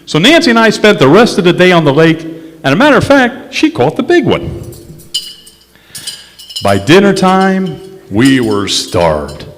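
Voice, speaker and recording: A man acting an impatient radio investigator with a higher-set voice that swings dramatically as he wryly narrates and demands answers. The performance is staged in a reverberant hall with music behind it. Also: wildly swooping pitch, measured pace, pauses often, a little hiss or hum, full character voice. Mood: wry narration. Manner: wry, insistent. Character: impatient, skeptical male investigator narrating his own case. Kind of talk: 1940s-50s radio detective acting on stage. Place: live stage in a museum courthouse.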